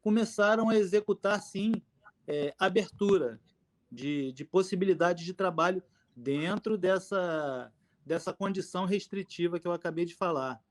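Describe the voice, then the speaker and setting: A middle-aged man from Minas Gerais with a mineiro accent, speaking earnestly and at a measured pace at a forum over a video call, with frequent fillers. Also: high voice. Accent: Brazilian Portuguese, Minas Gerais. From Minas Gerais, Brazil. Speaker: forum panelist, mouth painter. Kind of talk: panel talk at a public forum. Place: indoor room with his paintings behind him.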